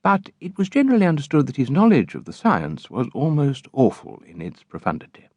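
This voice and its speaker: A man narrating a literary audiobook in a measured, theatrical, expressive style. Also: mid-range voice, dry recording, dull audio.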